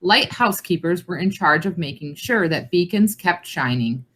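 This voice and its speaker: A woman's voice: lowish voice, animated, moderate pace, a bit bright. A woman reading a children's nonfiction book aloud as a read-along presenter.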